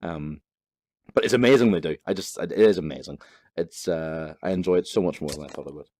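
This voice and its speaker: Deep-voiced Northern Irish man rambling over a game. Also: a little fast, darkish tone. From Northern Ireland. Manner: rambling, chatty, swears freely, laughs at himself. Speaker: gaming channel host. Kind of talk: casual gameplay commentary.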